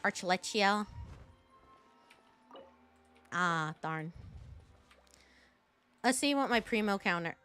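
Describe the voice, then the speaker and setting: A woman's voice: mid-range voice, expressive, slow, long pauses, fairly bright tone, clean tone, faint music behind. A woman giving casual, chatty live commentary as she plays a video game, thinking aloud to her viewers.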